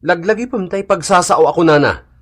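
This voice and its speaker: A man's voice: high voice, a little fast. A man playing a level-headed, peace-keeping husband and father in a radio comedy drama, reasoning and admonishing. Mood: firm admonishing.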